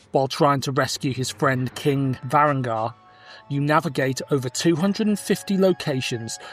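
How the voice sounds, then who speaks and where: A man in his forties hosting a podcast, switching between casual chat and scripted summaries. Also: mid-range voice. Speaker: podcast host.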